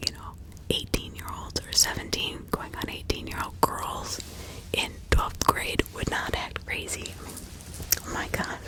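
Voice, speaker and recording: A woman whispering ASMR true-crime commentary in a breathy, unvoiced, conversational way with emphatic repetition. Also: low-quality recording. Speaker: ASMR host.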